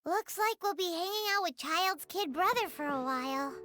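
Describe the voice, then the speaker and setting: A woman voicing a tiny, chattery, cheeky fairy companion with a squeaky childlike voice in a game. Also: animated, moderate pace, over music. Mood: chirpy. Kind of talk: video game voice acting.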